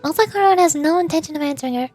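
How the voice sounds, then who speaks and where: A woman's voice: sits high, racing, darkish tone, soft background music. A woman streamer giving casual, chatty, playful commentary on a game. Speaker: streamer, VTuber.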